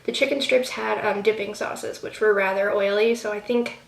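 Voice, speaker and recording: A woman's voice: mid-range voice, steady tone, normal speed, gravelly, distant mic. A young woman giving a casual, rambling product review with lots of fillers, sounding a bit nasal from a cold. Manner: chatty, rambling with many fillers. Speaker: beauty vlogger. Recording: echoey room, off-mic, faint hiss.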